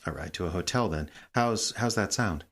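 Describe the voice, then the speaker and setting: Very low-voiced, probing female interrogator. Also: lively intonation. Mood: calm, coaxing. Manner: measured, probing, insistent. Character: controlled, persistent interrogator probing a witness. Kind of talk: naturalistic audio drama acting. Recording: clear background noise.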